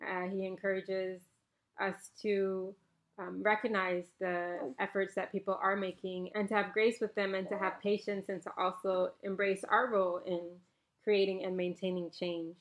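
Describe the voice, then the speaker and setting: A woman's voice: medium pitch, level tone, normal speed. Earnest woman podcasting from home. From Bahamas. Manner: earnest, reflective, gently conversational. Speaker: podcast host. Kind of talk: casual solo podcast talk with a read-aloud quote. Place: home, recorded around napping children.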